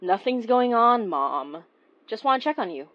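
A woman's voice: fairly high pitch, very animated, moderate pace, muffled recording. A woman playing a wry, caring paranormal investigator who narrates a horror audio drama in an intimate, conversational way. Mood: teasing. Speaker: lead character and narrator.

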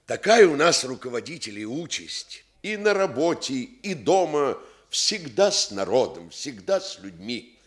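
An actor in a Soviet radio play voicing a pompous, self-important housing activist who speechifies officiously with false modesty. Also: male voice, medium pitch, sing-song, measured pace. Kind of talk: Soviet radio theatre acting.

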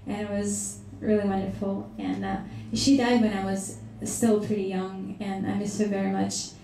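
A young woman from Russia, speaking softly and warmly as she introduces a song at a live studio session. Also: medium pitch, little pitch movement, moderate pace, gravelly, a little hiss or hum. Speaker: singer-songwriter. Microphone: stand mic. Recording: roomy studio sound, mic slightly far.

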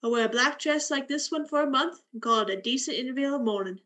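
A woman's voice: sits high, fast, fairly bright tone. A woman playing a cold, flippant, bitter young woman in a radio play, with a blunt, sardonic delivery.

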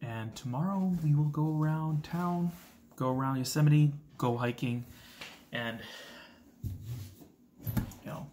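A man's voice: on the high side, slow, pauses often, mellow, slight background noise. A young man chatting casually and informally to the camera as a travel vlogger. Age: young adult. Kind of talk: casual vlog chat to camera. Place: living room, moving car, hotel room.

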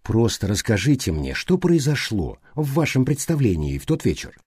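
A man voicing an animated, insistent older detective in an audiobook, reasoning aloud with emphatic questions. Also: mid-range voice, moderate pace, breathy edge, no echo, studio quality. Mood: probing. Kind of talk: audiobook character dialogue.

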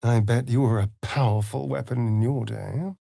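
A man's voice: medium pitch, normal speed, gravelly, mellow, no room sound, clean studio sound. A male actor playing a sardonic, flirtatious vampire rogue in a video game, with an arch, mocking, theatrical drawl. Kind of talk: video game character voice lines.

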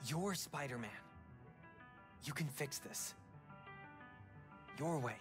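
A man's voice: a little high, slow, music in the background. A young man playing a loyal, supportive teenage best friend in a video game cutscene. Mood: encouraging. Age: young adult.

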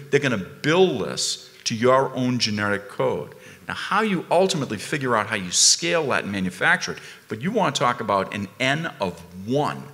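A man speaking on a conference panel, emphatic and animated, repeating phrases as he builds a point. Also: medium pitch, big-room sound. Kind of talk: panel discussion. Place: conference ballroom stage.

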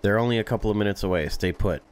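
A man's voice: on the low side, very fast. A young man playing a game, casually reading dialogue aloud in a blunt way. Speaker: gameplay streamer. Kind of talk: game playthrough, reading dispatcher lines aloud with reactions.

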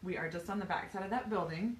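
A woman's voice: fairly low pitch, quick pace, distant mic. Bubbly woman giving a clinic tour. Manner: chatty, bubbly, walking while talking. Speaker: physical therapy clinic owner giving a tour. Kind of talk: live video tour, casual and upbeat. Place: small clinic indoors, hallways and treatment rooms. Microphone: phone camera held by another person. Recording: roomy phone audio from a few feet off, faint hum.

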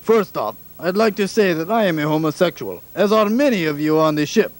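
A man playing a pompous middle-aged ship commander, long-winded and rambling as he addresses a crowd. The voice sits a little high for a man, in a comedic cartoon redub. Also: animated, brisk. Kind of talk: fan parody redub of a cartoon.

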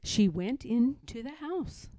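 A woman reads a children's picture book aloud with dramatic emphasis. Her voice is soft-edged and mellow, moving slowly with very lively intonation. The sound is close and dry. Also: a little high, no room sound. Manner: dramatic, with suspenseful pauses and emphasis. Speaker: read-aloud storyteller.

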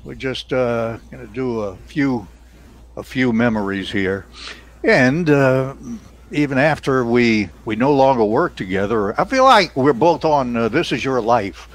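A man's voice: medium pitch, lively intonation, measured pace. Older American man, chuckly rambling host. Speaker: radio show host. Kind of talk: casual reminiscing chat. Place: indoor home studio on a video call. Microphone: headset mic. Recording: noisy home video-call audio.

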